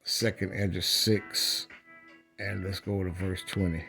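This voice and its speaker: A man's voice: sits low, level tone, unhurried, vocal fry, sharp tone, faint music behind. A man preaching in a scripture read-along, emphatic, repeating phrases and checking in with rhetorical questions.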